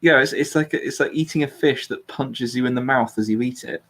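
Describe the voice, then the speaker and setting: A man's voice: mid-range voice, a little fast, fairly bright tone. Man chatting casually, blunt and jokey. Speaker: livestream host. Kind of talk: casual livestream chat.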